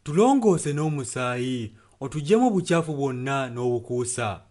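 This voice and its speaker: A man's voice: mid-range voice, animated, normal speed, darkish tone. A man interpreting a preacher's sermon and prayer phrase by phrase.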